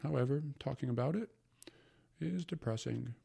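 A man voicing a fifty-year-old bachelor uncle who mutters slowly and wistfully. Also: mid-range voice, vocal fry, mellow, breathy edge, pitched above the performer's own voice.

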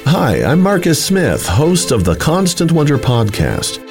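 A man's voice: fairly high pitch, wildly swooping pitch, unhurried. A man narrating a scripted promo as a podcast host. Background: music.

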